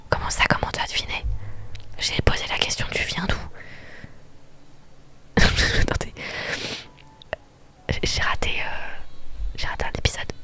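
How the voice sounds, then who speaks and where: A woman's voice: a little slow, long pauses, bright, forceful tone. A woman streamer whispering playful, chatty commentary while she plays a dating game. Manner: playful, chatty, laughs easily.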